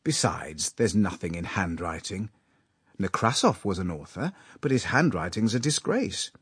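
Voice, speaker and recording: A man's voice: low voice, expressive, moderate pace, slightly rough, no room sound, studio quality. A man playing a self-important writing master and awkward suitor in a story reading. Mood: dismissive.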